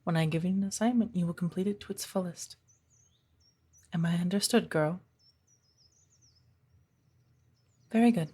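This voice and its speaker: Woman playing a strict but patient witch teacher, asmr roleplay, breathy husky voice, dark and relaxed, birds chirping in the background. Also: on the low side. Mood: stern. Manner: measured, formal, instructive, commanding. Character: stern, patient witch mentor of the woods. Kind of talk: ASMR roleplay addressed to the listener as apprentice. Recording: birdsong behind the voice. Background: birdsong, woodland ambience.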